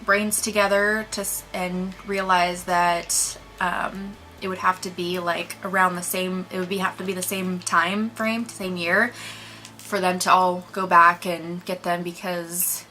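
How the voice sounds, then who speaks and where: Female review co-host, very bright voice, casual and chatty delivery. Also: on the low side, little pitch movement, measured pace, faint music behind, poor audio.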